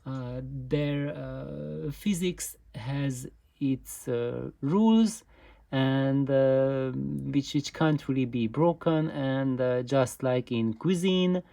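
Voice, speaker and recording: A host giving an informal explainer talk in a halting, hesitant way, with frequent pauses and repeated words. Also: mid-range voice, creaky, mellow. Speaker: host of an audio gear channel.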